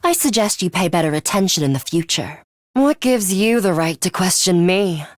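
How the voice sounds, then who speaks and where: A woman's voice: fairly low pitch, expressive, normal speed, no echo, studio quality, close to an everyday voice. A woman voicing an aloof, scornful young female fighter with a sadistic streak in a video game, curt and condescending in manner. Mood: cold, scornful. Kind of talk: English video game voice acting.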